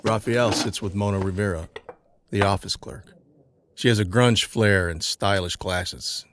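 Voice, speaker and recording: Deep-voiced man narrating an audio drama. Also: fairly even intonation, unhurried, fairly bright tone, a little hiss or hum.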